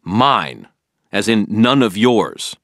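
A man's voice: on the low side, sing-song, slow, fairly bright tone, dry recording, pitched above the performer's own voice, full character voice. A hot-tempered wizard detective, played by a male audiobook narrator, stubborn and defiant. His lines are curt and sardonic, delivered close and dry. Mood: angry, defiant. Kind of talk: acted audiobook dialogue.

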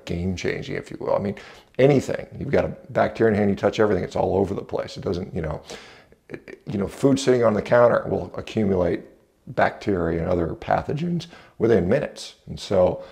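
Deep, very gravelly American man. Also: a little mellow. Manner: blunt, dismissive, conversational with hedging asides. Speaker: video reviewer and commentator. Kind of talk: unscripted opinion commentary to camera. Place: indoors, home entrance hall. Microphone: small clip-on lapel mic.

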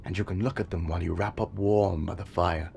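A man voices a tender father in an audio drama, coaxing his daughter in a soft, patient way. His voice is very low, with faint ambience behind it. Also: steady tone, fairly quick, vocal fry, slight background noise.

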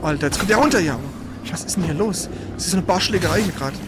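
Excitable gamer with wildly swinging pitch. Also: rapid-fire, heavy background noise. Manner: excitable, exclaiming, colloquial with mild swearing. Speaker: gamer commentating on own play. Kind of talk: casual gameplay commentary.

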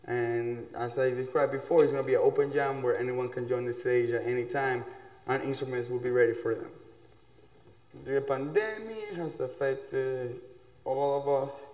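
A young man from Ecuador, a musician, chatting in a relaxed, loose way in a small dark room. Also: medium pitch, normal speed. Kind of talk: casual interview talk. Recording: echoey room with long reverb.

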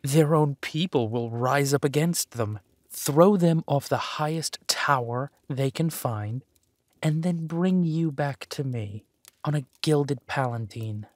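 A man playing a possessive, menacing villain husband who is tender to his spouse, in a slow, soothing, theatrical manner for a roleplay audio drama. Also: on the high side, no echo. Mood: gloating, menacing.

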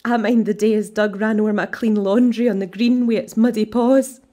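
A woman's voice: medium pitch, fairly even intonation, normal speed, dark tone, natural voice. An actress with a Scottish accent playing a bitter, grieving widow and mother in a radio drama, speaking plainly and with emotion. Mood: wistful.

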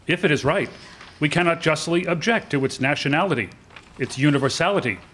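Husky statesman orator, acted by an older man. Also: on the high side, brisk, pushed and bright. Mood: earnest, resolute. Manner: rhetorical, oratorical. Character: male statesman delivering a principled, resolute political oration. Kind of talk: staged radio-play reading of a speech. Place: indoor hall with seated audience. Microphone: lectern microphone.

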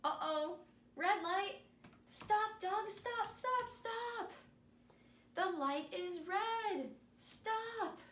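A woman's voice: very high voice, expressive, extremely slow, fairly bright tone. A young woman working as a school speech therapist reads a picture book aloud to children in simple, emphatic, repeated phrases. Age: young adult. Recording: echoey room with noticeable hiss.

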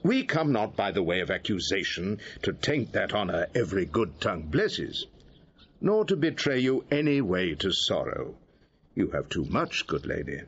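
A man's voice: low voice, very animated, normal speed, husky, dull audio, full character voice. A man playing a solemn, persuasive cardinal in a Shakespearean audio drama, speaking with formal ceremony. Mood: solemn, conciliatory. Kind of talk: Shakespearean radio drama acting.

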